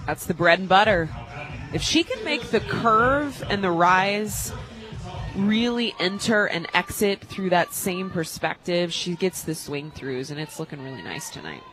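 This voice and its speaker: Lower-voiced American woman giving sports analysis. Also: animated, measured pace, music in the background, poor audio. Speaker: radio color commentator. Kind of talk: analytical live sports commentary. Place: stadium radio booth.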